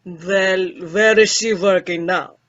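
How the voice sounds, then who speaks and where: A woman's voice: medium pitch, steady tone, slow pace, a bit bright. A woman playing a muffled, teasing old professor. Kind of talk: old-time radio comedy acting.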